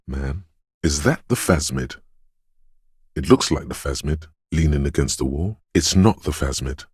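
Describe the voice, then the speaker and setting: A man voicing a detective's brooding, mystical intuition in a video game, with a deep, gravelly voice and slow, ominous, dreamlike delivery. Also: a little mellow, no room sound, studio quality, exaggerated voice. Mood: wry, disappointed. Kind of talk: scripted video game narration in second person.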